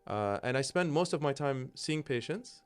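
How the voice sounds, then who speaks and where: A man's voice: medium pitch, lively intonation, normal speed, clean tone. A middle-aged man with an American accent, a psychiatrist explaining his career as a talk show guest in an articulate, flowing way. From United States.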